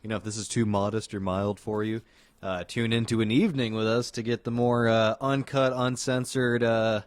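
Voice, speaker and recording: A man's voice: fairly low pitch, flat delivery, normal speed. A man co-hosting a stream show, chatty and hesitant with many fillers. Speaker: co-host. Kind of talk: casual live-stream talk.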